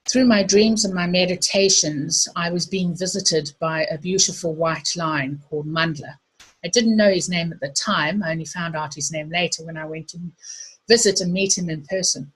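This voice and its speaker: A middle-aged woman with a South African accent speaks into a computer mic on a video call, hosting an online presentation. Her voice sits a little low and sounds husky. She talks casually and hesitantly, with many ums and restarts. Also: fairly bright tone, low-quality recording. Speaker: webinar host.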